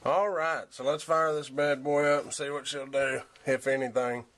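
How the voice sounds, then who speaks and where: A man's voice: medium pitch, steady tone, moderate pace, a bit bright. A man giving a casual, off-the-cuff demonstration in a folksy, laid-back way with hesitant phrasing. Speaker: hobbyist demonstrating a radio set-up. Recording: home camera with background noise.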